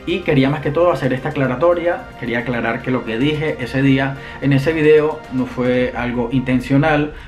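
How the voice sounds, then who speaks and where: A young man with a Venezuelan accent talks straight to the camera in an earnest, explaining way, moving his hands as he speaks. His voice sits at an ordinary middle pitch. Faint music plays behind him in a room at home. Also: fairly quick, hardly any pauses. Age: young adult. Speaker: vlogger.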